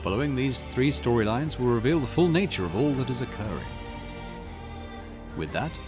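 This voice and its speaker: A man reading as a mysterious visual novel storyteller, formal, measured and dramatic. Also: mid-range voice, expressive, music in the background, close to an everyday voice. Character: mysterious theatrical storyteller introducing a tale.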